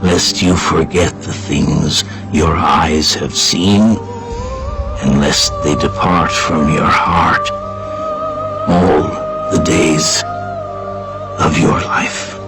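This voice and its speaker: A man playing a solemn, aged prophet exhorting his people in a grave, deliberate way, as in a dramatized scripture reading. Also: a bass voice, fairly even intonation, gravelly, over music, heavily acted.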